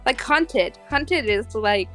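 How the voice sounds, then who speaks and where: Woman chatting haltingly over background music. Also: fairly high pitch, expressive, pushed and bright. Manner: hesitant, halting, circling back mid-thought. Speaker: podcast co-host. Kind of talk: casual fan discussion of an animated series.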